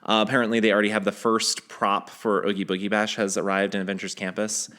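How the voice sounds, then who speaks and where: A man's voice: fairly low pitch, little pitch movement, quick pace, a bit bright. A man hosting a podcast, sharing news in a casual, conversational way, teasing his co-host and restarting his sentences as he goes.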